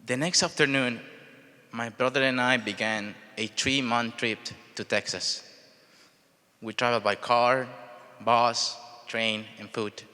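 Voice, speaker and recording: A young man from El Salvador with a Salvadoran Spanish accent gives a prepared personal speech in short, deliberate phrases. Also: medium pitch, fairly even intonation, sharp tone. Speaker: speaker telling his life story at a charity event. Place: large event hall, speaking to an audience. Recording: reverberant large hall sound.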